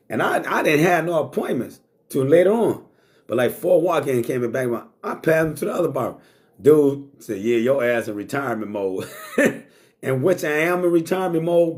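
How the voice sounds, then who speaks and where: A man's voice: medium pitch, darkish tone. A middle-aged man hosting a talk show, talking bluntly and casually, swearing freely. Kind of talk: casual monologue to live chat.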